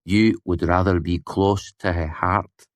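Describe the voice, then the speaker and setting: A man voicing a grave, dry-witted foreign student in an audiobook, terse and deadpan. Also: low voice, a little slow, no room sound, voice pushed up.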